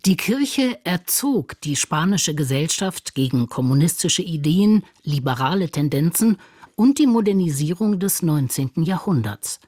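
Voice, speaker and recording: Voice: mid-range voice, expressive, unhurried, darkish tone, dry recording, studio quality. A narrator reading a scripted radio documentary.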